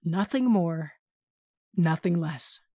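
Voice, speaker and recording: A woman's voice: lowish voice, lively intonation, takes it slowly, mellow, no echo. A woman playing a wry, blunt aunt and battle-hardened veteran in an audio drama, laid-back and dry-humored. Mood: reflective.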